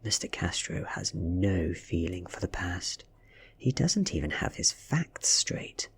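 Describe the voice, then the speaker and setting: A man with a British accent softly reads a story in ASMR style, breathy and often slipping into a whisper, with measured, dramatic delivery. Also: low voice, very animated. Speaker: ASMR reader. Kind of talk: soft-spoken ASMR audiobook reading.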